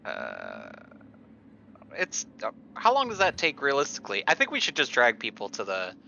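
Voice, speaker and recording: A man's voice: mid-range voice, huge pitch swings, moderate pace, creaky, bright, forceful tone, lo-fi sound, slight background noise. A man chatting casually as a player in a tabletop roleplaying game.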